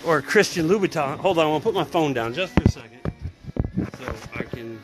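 A middle-aged man rambling excitably and swearing casually during a live phone stream outdoors at a storage unit. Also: on the high side, fairly quick, rough recording. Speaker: vlogger. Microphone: phone at arm's length.

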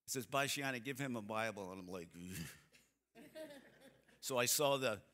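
A man in his seventies giving a church seminar talk, a folksy, animated storyteller who acts out the people in his stories. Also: medium pitch, slow pace. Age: 70s. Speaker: seminar speaker. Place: church sanctuary stage, seated. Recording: long hall reverb from the sanctuary.

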